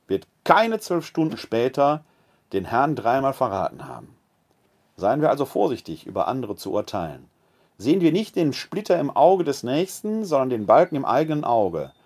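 Slow, reflective preacher. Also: male voice, a little high, a little mellow. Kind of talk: video podcast sermon.

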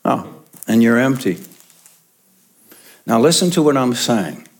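A man's voice: medium pitch, very animated, takes it slowly. A male pastor preaching a sermon in church, stressing and repeating his main points for the congregation.

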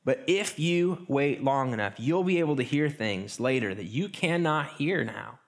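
A man preaching a sermon in a relaxed, conversational way, often restarting phrases and slipping into quick acted asides. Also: mid-range voice, gravelly. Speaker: pastor.

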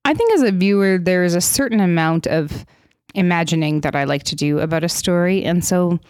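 A woman's voice: fairly low pitch, a little fast, darkish tone, smooth, dry recording. A woman in her thirties co-hosting a podcast, a comedian with a dry, wry manner in casual chat. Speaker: podcast co-host, stand-up comedian.

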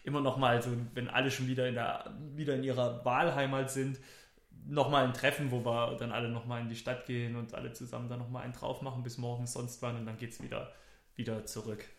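A man's voice: medium pitch, level tone, fast talker. Man, casual podcast chat, enthusiastic, rambling, echoey room. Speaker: podcast co-host.

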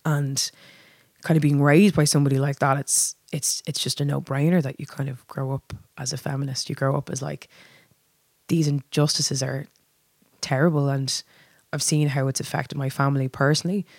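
A woman's voice: very deep voice, level tone, moderate pace, a little mellow, dry recording. A woman with a north Dublin accent chatting candidly and reflectively in an interview, often repeating words as she finds her phrasing. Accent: north Dublin Irish. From Dublin, Ireland. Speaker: band singer being interviewed. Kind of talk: casual reflective interview chat.

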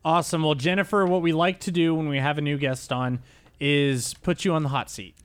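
A man co-hosting a casual podcast, running a quick fun question round. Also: on the high side, fairly even intonation, clean tone, no room sound. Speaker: podcast co-host.

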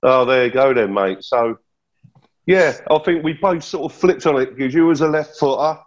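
A man's voice: medium pitch, normal speed, dull audio. Matey man in his fifties. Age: 50s. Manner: matter-of-fact, matey, says "mate" a lot. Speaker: guest, former footballer. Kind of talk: casual reminiscing chat.

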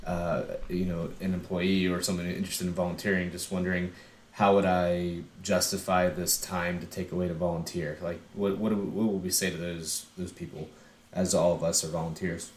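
A man's voice: low-pitched, level tone, a little fast, echoey room. A man moderating a casual panel discussion, posing questions to the guests in a conversational way.

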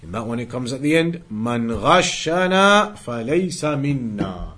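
A man teaching an Islamic studies class, explaining deliberately and repeating key phrases for emphasis as he moves through recited quotes. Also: medium pitch, sing-song, a bit bright. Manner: didactic, deliberate, emphatic repetition. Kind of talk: religious lesson, explaining and translating recited quotes.

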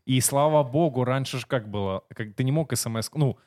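A man's voice: medium pitch, brisk, darkish tone. Talkative, teasing man in his thirties. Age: 30s. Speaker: podcast co-host. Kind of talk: casual podcast chat.

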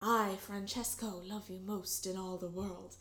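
A woman's voice: mid-range voice, fairly even intonation, a little slow, fairly bright tone, reverberant, voice pushed down, natural voice. A woman playing Francesco, a lovestruck young musician. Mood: indignant. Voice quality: theatrical, exaggerated declarations.

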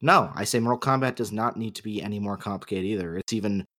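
Low-pitched man in casual, opinionated discussion. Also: quick pace, fairly bright tone. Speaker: podcast co-host.

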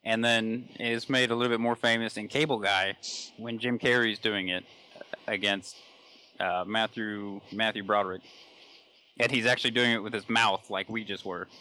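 A man's voice: on the low side, fairly even intonation, moderate pace, bright, forceful tone, rough recording. A man in his twenties on a casual podcast, blunt and crude in his jokes. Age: 20s. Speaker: podcast co-host. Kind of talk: casual chat.

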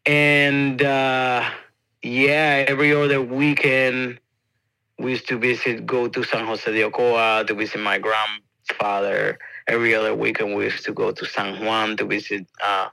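Dominican musician, male, Spanish-accented English, bright forward voice, relaxed, dry close sound. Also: mid-range voice, measured pace, vocal fry, no echo, poor audio. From Dominican Republic. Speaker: musician guest. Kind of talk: casual interview answers.

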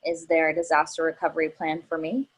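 A woman playing a low-voiced, probing young professional. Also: little pitch movement, fast. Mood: skeptical, pressing. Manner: pointed, formal, probing. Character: businesslike, direct young professional woman interviewer. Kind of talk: play reading.

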